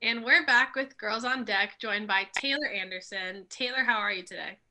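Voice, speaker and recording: Bright-voiced young woman co-hosting, slightly raspy. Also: medium pitch, normal speed, faint music behind, poor audio. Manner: conversational, asks questions, trails off quietly. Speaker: podcast co-host. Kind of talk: casual sports talk.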